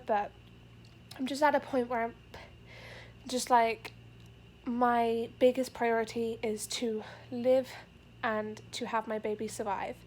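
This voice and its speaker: A woman's voice: fairly high pitch, a little hiss or hum. Breathless young woman rambling about pregnancy. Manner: rambling, many fillers, earnest. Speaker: vlogger. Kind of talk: casual pregnancy update vlog. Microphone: camera facing the speaker.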